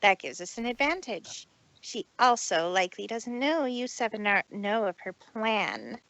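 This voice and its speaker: A woman voicing a proud, self-important female pony in a fan fiction read-aloud, speaking deliberately and indignantly. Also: medium pitch, big pitch swings, fairly bright tone, no echo, poor audio. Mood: resolute.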